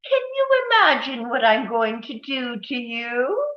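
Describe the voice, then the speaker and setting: A woman's voice: sits high, sing-song. A woman voicing a wicked, gloating witch villain who taunts and barks commands in a theatrical screenplay read. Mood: gloating menace. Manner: menacing, commanding, drawn-out taunting. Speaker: table read actor. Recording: roomy, dull lo-fi microphone sound.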